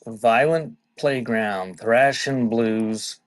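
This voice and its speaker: A man speaks at a slow, unhurried pace in a casual live-auction style. His delivery is laid-back and conversational, and the sound is dry and close, with little room to it. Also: medium pitch, no echo. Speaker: live auction seller and host.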